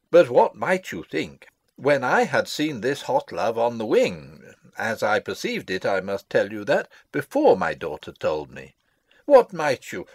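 Long-winded old courtier, higher-pitched, very animated, theatrical, self-satisfied, classical stage drama. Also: male voice, dry recording, full character voice. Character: pompous, long-winded old male royal counsellor and father. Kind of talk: classical Shakespearean stage acting, dramatic reading.

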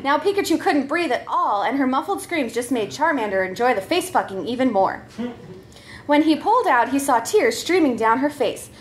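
A woman's voice: high-pitched, big pitch swings, moderate pace, slight background noise. A woman reading a comic story aloud as a performer, giggly and prone to breaking up as she goes. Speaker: comedy troupe member reading a story.

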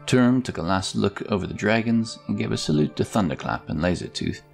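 A man's voice: fairly low pitch, big pitch swings, brisk, creaky. A man narrating a scripted fantasy story as its storyteller. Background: music.

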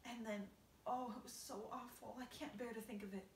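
A woman's voice: on the high side, steady tone, normal speed, voice pushed up, lightly acted. A woman doing a prim, tearful spider lady. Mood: mournful. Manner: prim, fretful storytelling. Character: fussy, tearful female spider, prim and dramatic. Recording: roomy, distant, faint background hiss.

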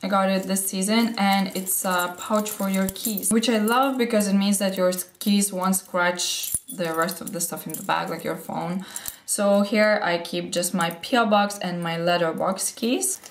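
A woman's voice: mid-range voice, steady tone, unhurried, reverberant. A young woman in her twenties, a little throaty from a cold, chatting eagerly about what she carries in her handbag. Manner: chatty, gushing, a little breathless. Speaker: beauty and fashion vlogger. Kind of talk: casual what's-in-my-bag chat. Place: sunny room at home, indoors. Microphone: camera facing her, sitting close.

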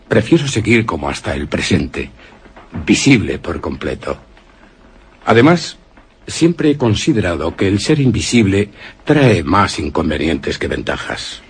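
An actor playing a curious, courteous, formal and wry castle visitor in a suspense radio drama. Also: male voice, low-pitched, sing-song, a little slow, breathy edge, full character voice.

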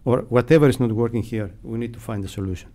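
A middle-aged man explaining things deliberately in an interview, close on a broadcast microphone. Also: mid-range voice, warm tone. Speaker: radio sound technician, interviewee. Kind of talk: unscripted explanatory interview answers. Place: acoustically padded radio studio. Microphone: close broadcast mic.

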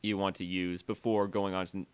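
A man narrating a software tutorial voiceover, halting and often repeating or correcting himself as he explains. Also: sits low, little pitch movement, smooth, no room sound.

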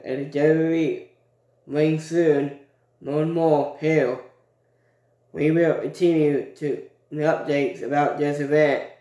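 A young man hosting a wrestling news channel, reading updates to his webcam slowly and carefully, with repeats, in a small room. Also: a little high, a little mellow. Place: small indoor room with ceiling lights. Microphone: webcam at desk, low angle. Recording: echoey room, webcam mic.

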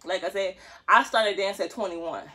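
A woman's voice: mid-range voice, normal speed, sharp tone. Chatty young woman stretching on a floor. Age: 20s. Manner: chatty, joking, lots of laughs and slang. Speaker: dance vlogger. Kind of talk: casual chat to camera while stretching. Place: small room with wooden floor, indoors. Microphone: phone camera on the floor.

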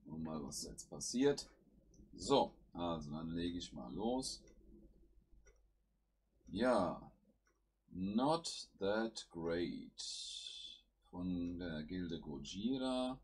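Male gameplay host, has a cold, very low and husky voice, talks through each move at home. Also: lively intonation, very slow, long pauses, a little mellow. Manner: thinks aloud while playing, with fillers. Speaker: gaming channel host. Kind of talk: casual gameplay commentary.

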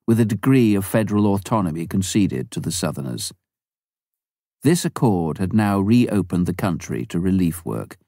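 A man narrates a memoir in a deep, warm voice. His reading is measured and even, recorded close and dry with no room sound. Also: dry recording. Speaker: audiobook reader.

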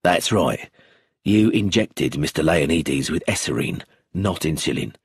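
A man voicing a calm, blunt police chief inspector in an audiobook, low, steady and abrupt. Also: measured pace, creaky, breathy edge, no room sound, studio quality, full character voice. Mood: firm.

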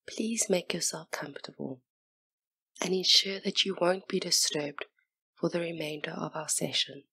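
A woman guiding a hypnosis meditation in a soft, breathy near-whisper, with soothing, gentle, unhurried instruction. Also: deep voice, dry recording, low-quality recording. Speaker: hypnotist and session guide.

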